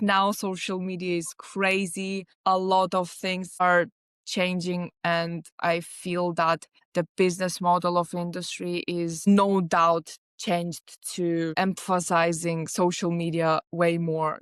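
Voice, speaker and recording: A woman's voice: on the low side, clean tone, no echo, clean studio sound. A woman, earnestly answering podcast questions as an artist manager, stringing ideas together with many likes. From Lithuania. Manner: earnest, talks in long lists with many likes.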